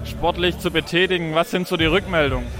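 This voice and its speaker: A man's voice: a little high, steady tone, fast, crowd noise. A man working as a reporter, asking interview questions on location. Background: music and outdoor event sound.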